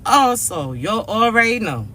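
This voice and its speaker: A man with a high, ringing voice roughened by rasp and hoarseness speaks directly to his followers. His delivery is slow and emphatic, full of repeated check-ins with the listener. A faint hum sits behind him. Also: very animated, pushed and bright. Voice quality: raspy. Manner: repeats "all right?" and "chosen ones", slow and emphatic. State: hoarse from allergies. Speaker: spiritual reader and channel host. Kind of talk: direct-to-camera motivational spiritual message.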